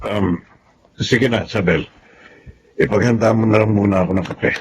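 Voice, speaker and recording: A man's voice: lowish voice, steady tone, moderate pace, lightly acted. An actor playing a stern, authoritative middle-aged father with a firm, commanding manner in a Filipino radio drama. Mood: brisk. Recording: dull audio with faint noise.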